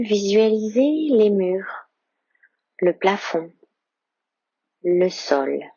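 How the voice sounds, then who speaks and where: A woman leading a guided yoga nidra meditation as a yoga teacher, slow and soft-spoken, slipping into whispers. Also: lowish voice, breathy edge, dry recording, highs cut off.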